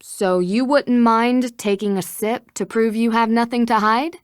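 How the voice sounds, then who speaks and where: A woman's voice: a little high, steady tone, unhurried, clean tone, no echo, studio quality, close to an everyday voice. An actress playing a confident, curious young female detective with a friendly, teasing manner in a video game. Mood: casual, curious.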